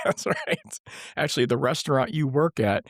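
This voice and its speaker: A man in his forties hosting a casual family podcast interview, his voice hoarse and scratchy from a cold, easygoing and chuckling often. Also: mid-range voice, animated, a little slow, no room sound, studio quality. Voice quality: scratchy, gravelly. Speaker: podcast host and father of the guests.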